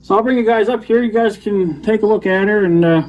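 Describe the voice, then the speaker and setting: Rambling man with a slightly high voice. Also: brisk, darkish tone, muffled recording. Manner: rambling, thinking aloud. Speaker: video blogger. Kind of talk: casual hands-on gadget demonstration.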